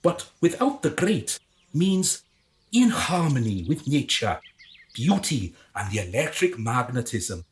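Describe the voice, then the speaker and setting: An actor as a pompous, swooping alien in human guise. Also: mid-range voice. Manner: pompous, exasperated, condescending. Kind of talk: comic radio drama acting.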